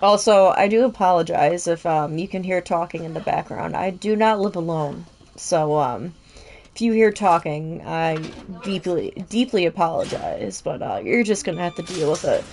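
A woman's voice: fairly low pitch, normal speed, vocal fry, darkish tone. A young woman streaming a game from home, chatting casually, swearing and laughing as she plays. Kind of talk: casual live-stream chat. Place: home, indoors.

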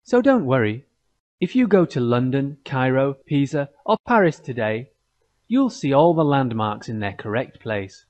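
A man's voice: mid-range voice, very animated, measured pace, a little mellow, dull audio. A man with an American accent narrating a scripted children's story.

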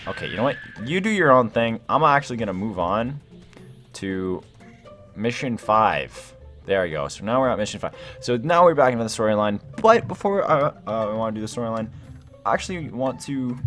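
A young adult man gives casual gameplay commentary, excitable and slangy as he thinks aloud. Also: fairly low pitch, normal speed, faint music behind. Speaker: gaming video host.

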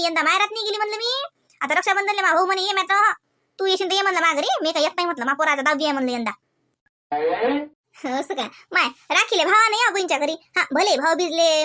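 A woman's voice: extremely high pitch, pushed and bright, heavily acted. A woman playing a chatty village housewife and mother, exclaiming and gossiping in rustic Varhadi Marathi. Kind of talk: Marathi comedy cartoon dialogue.

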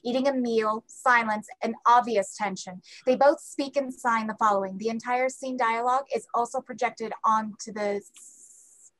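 Female stage-directions narrator, moderately high pitch, scripted play-reading delivery. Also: fairly even intonation, normal speed, fairly bright tone, poor audio. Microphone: video call.

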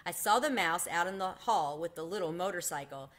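A woman's voice: fairly low pitch, expressive, fairly quick, a bit bright. Lady reading as a wry old bellhop guy, good-natured storybook teasing.

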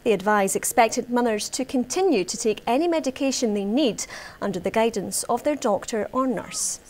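A woman's voice: a little high, expressive, darkish tone. A Scottish woman in her thirties reading scripted news formally as a studio presenter. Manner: formal, measured delivery. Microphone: lapel mic.

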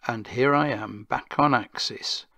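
A man presenting a scripted microphone demonstration in a measured, precise manner, speaking close to a vintage microphone. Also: mid-range voice, vocal fry, bright, forceful tone, dry recording. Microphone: vintage noise-cancelling microphone, two inches away.

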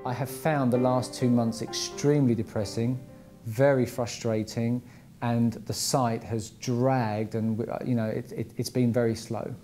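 A man's voice: mid-range voice, little pitch movement, unhurried, dark tone. Middle-aged man over background music. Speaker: property developer. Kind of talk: documentary interview. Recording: music under the speech.

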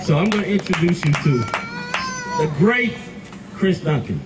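A man's voice: high voice, very animated, normal speed. Man giving an encouraging talk outdoors. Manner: earnest, encouraging. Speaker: event speaker. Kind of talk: motivational speech to youth. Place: outdoor stage under a tent. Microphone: handheld mic, far camera. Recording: distant camera, noisy outdoors.

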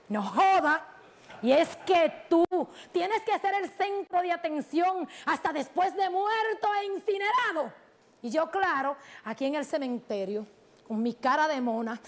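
An actress playing a bitter, indignant middle-aged widow in a theatrical comic monologue, emphatic and sardonic, with a Dominican Spanish accent. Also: female voice, extremely high pitch.

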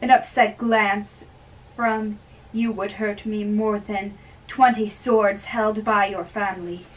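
A woman acts out an earnest young man, reckless with love, in an amateur play reading. The delivery is slow and halting. It is picked up from across a room. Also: medium pitch, steady tone, lightly acted. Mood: bold devotion. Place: small bedroom, indoors. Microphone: far camera. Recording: distant room microphone.

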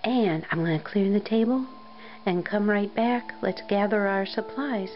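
A woman hosting a crochet how-to, her voice still a bit scratchy and hoarse after a cold, explaining things in a friendly, step-by-step way. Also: mid-range voice, a little slow, dull audio. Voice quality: slightly scratchy, recovering voice. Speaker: crochet tutorial host. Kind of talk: casual how-to narration.

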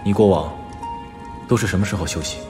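Male actor as stoic noble swordsman for audio drama: deep, terse, cool and restrained, music underneath. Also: brisk, lightly acted. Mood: stern, calm. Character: stoic, stern, taciturn young nobleman swordsman. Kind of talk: live audio drama stage performance. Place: large theatre stage, indoors.

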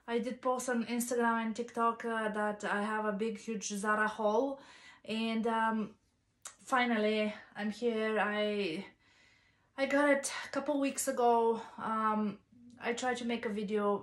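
A woman's voice: fairly high pitch, fairly even intonation, slow. Chatty Bulgarian-accented woman, roomy sound. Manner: chatty, many ums and uhs, gestures with her hands. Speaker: fashion vlogger. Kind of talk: casual haul vlog. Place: small living room at home. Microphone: camera's built-in mic, a few feet away.

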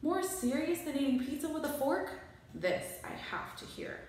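A woman's voice: high voice, normal speed, slight background noise, voice pushed up. A woman voicing a self-important, scornful young boy detective from a storybook, grandly dramatic. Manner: pompous, deadpan grandiosity. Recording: very echoey small bare room.

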